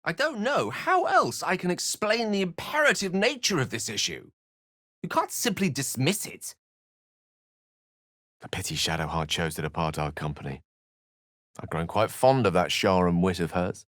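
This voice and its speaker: Video game wizard, erudite, courteous, wry, theatrical precise diction, very animated intonation, dry close sound. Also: male voice, mid-range voice, moderate pace, no echo. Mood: earnest. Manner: eloquent, precise, theatrical phrasing. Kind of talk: video game character acting.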